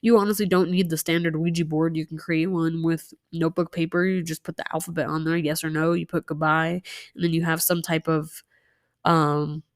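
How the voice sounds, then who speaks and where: Young woman, lowish voice, casual story time host, chatty with fillers, dry close recording. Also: brisk, no echo. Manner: chatty, relaxed, many fillers and asides. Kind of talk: casual storytime while doing nails.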